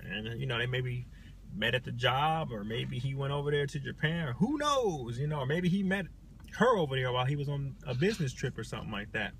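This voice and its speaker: A man's voice: mid-range voice, lively intonation, brisk, fairly bright tone, a little hiss or hum. A man chatting casually as a livestream guest, telling anecdotes and giving opinions in an informal, rephrasing way.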